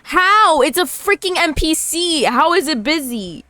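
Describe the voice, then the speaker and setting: A young woman giving casual, blunt, joking commentary as she plays a video game, in a clear, smooth voice. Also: high voice, very animated, measured pace, sharp tone, no echo, soft background music. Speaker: gaming YouTuber.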